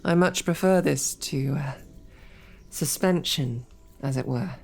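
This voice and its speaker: A woman's voice: deep voice, takes it slowly, a little mellow, faint music behind, full character voice. A woman voicing a captive young woman knight who talks in a dry, formal, wryly understated way, in an audio roleplay drama. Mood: dry, sardonic. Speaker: voice actor.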